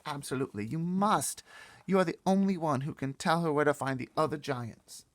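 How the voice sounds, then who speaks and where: A man's voice: a little high, moderate pace, voice pushed up, close to an everyday voice. A man voicing a bossy, eager little girl in a storybook read-aloud, explaining things emphatically and confidently.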